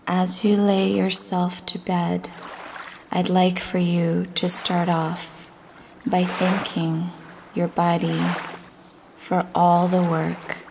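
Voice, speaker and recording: A woman's voice: fairly low pitch, little pitch movement, noisy background. A woman leading a guided meditation with affirmations, speaking in a soothing way that at times drops toward a whisper. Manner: slow, soothing, breathy near-whisper at times.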